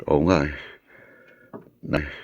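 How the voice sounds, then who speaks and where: A man's voice: takes it slowly, darkish tone, dull audio, lightly acted. A man acting in a radio drama as a melancholy, wronged man who speaks ruefully and earnestly. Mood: rueful, wounded. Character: wronged, melancholy man, former campaigner, lamenting injustice.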